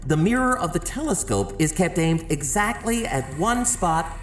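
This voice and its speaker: A man playing a nice old astronomer who lectures tourists in a measured, slightly hesitant way. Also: high voice, sing-song, a little hiss or hum. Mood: grave, measured. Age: older. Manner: measured, explanatory, hesitant stammers. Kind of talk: audio drama acting. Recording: echoey large space, music underneath.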